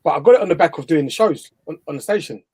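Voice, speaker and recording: A man's voice: on the high side, lively intonation, racing, darkish tone, slightly rough. A man with a Bajan accent telling a story in a rambling, laughing way over a video call. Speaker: guest interviewer. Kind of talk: casual live chat. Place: home, remote video call.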